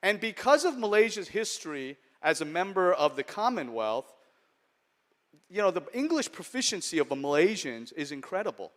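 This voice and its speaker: A man's voice: high-pitched, very animated, moderate pace. A middle-aged man with an American accent from New York, giving a conversational conference keynote that keeps checking in with the audience. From United States. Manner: conversational, humorous, checks in with "okay?". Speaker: venture capital investor and keynote speaker. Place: large conference hall.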